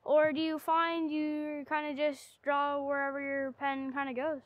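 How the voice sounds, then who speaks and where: A woman's voice: high-pitched, completely flat delivery, measured pace, dry recording, highs cut off. A woman asking interview questions as an interviewer.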